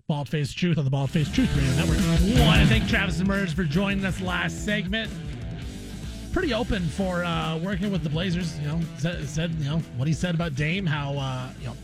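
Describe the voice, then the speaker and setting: A man's voice: a little high, a little fast, over loud music. A man hosting a sports radio show, giving his opinions in casual conversational talk.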